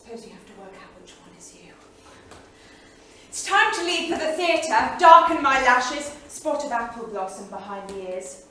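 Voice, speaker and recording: A restless 1930s bohemian wife, flirtatious and affected, with a high, bright voice. She performs theatrically, as on a small stage, heard from a distance in a very echoey room. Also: animated, moderate pace. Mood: brittle, weary. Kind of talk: theatrical staged reading, dramatic monologue. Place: small theatre studio, indoors. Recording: echoey theatre studio from far off, faint noise.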